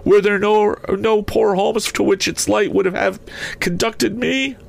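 A man voicing the anguished ghost of a dead businessman in a storybook reading, mournful and declamatory, with drawn-out solemn words. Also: sits high, fairly even intonation, gravelly, pitched above the performer's own voice, full character voice. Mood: remorseful.

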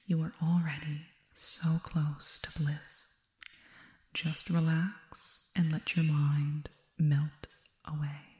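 A woman voicing a serene, otherworldly alien hypnotist in an ASMR roleplay, speaking in a soft, breathy whisper with deliberate, soothing phrasing. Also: deep voice, level tone, roomy sound. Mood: soothing, reassuring. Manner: hushed, slow, deliberate, repeating soothing words.

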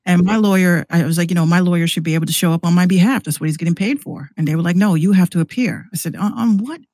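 An American woman chatting casually and candidly in a slangy, animated way, acting out exchanges as she tells stories. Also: on the low side, quick pace, no echo. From New York City, US. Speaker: podcast guest, rapper and radio show host. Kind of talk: casual conversational storytelling.

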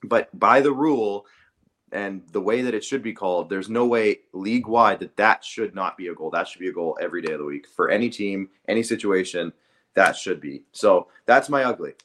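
A man's voice: fairly low pitch, brisk, fairly bright tone. A man co-hosting a sports podcast, casually debating and earnestly repeating himself to press his point.

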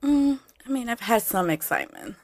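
A woman's voice: fairly high pitch, animated, normal speed, dry recording. A woman who is a guest on a podcast, chatting casually in a studio and firing back blunt retorts. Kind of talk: casual chat. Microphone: studio mic, pulled close.

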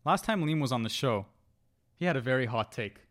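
A man's voice: mid-range voice, animated, fairly quick. A man co-hosting a live sports podcast, chatting casually and confidently. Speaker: podcast co-host.

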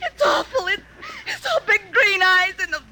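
Hysterical terrified girl, super high shrill voice, really noisy old radio recording. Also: sing-song, moderate pace. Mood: hysterical, tearful. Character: hysterical, terrified young woman, a film extra. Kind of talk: old-time radio acting.

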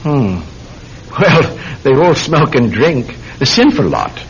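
Casting: eager young amateur sleuth, hugely expressive swooping delivery, vintage radio play, muffled with slight noise. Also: male voice, slow. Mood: tentative, keen. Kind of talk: classic radio drama acting. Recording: dull, rumbling, noisy old radio recording.

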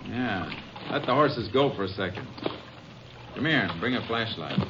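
A low-voiced Texas Ranger investigator, American, shrewd and matter-of-fact. He talks in terse deductions, in old western radio drama style, on a muffled, noisy vintage recording. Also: very animated, unhurried, a bit bright, exaggerated voice. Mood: quiet, intent.

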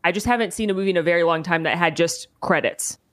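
A woman's voice: lowish voice, fast, a bit bright. A woman co-hosting a casual podcast, talking in a chatty way with lots of "like". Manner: chatty, uses "like" a lot. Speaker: podcast co-host. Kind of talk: casual chat.

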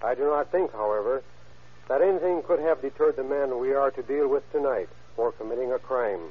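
A thin, narrow-band old radio recording of an American man. His mid-pitched voice is husky. He reads a formal address stiffly and earnestly, like an official guest. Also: fairly quick. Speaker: police chief, guest speaker.

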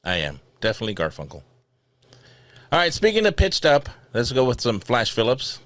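A man's voice: mid-range voice, huge pitch swings, a little fast, very noisy. A man hosting a casual podcast chat, teasing and picky about music. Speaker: podcast host.

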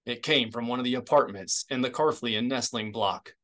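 Man as a brisk military aide. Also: medium pitch, fairly bright tone, natural voice. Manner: brisk, clipped. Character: brisk, efficient young male military aide.

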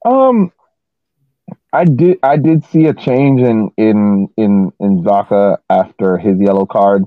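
A man co-hosting a sports podcast over a remote call, giving casual analysis with halting, repeated words. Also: fairly low pitch, no room sound. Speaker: podcast co-host. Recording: tinny phone-like call line, dry.

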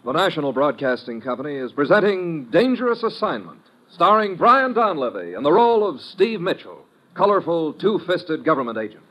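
A man's voice: on the high side, big pitch swings, moderate pace. An American man announcing in a dramatic, emphatic 1950s radio style. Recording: dull old radio recording, loud music behind.

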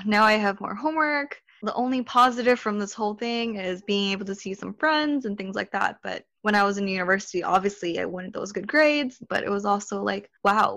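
A young woman in her twenties chatting casually as a podcast co-host, telling stories in an animated way. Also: mid-range voice, dry recording, dull audio. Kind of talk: casual chat.

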